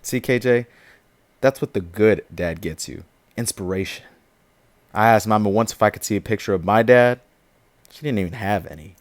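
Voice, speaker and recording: An actor playing a defiant, streetwise young activist in an audio drama, with a sardonic, informal way of talking. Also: male voice, on the low side, normal speed, long pauses, no room sound, natural voice. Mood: bitter. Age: young adult.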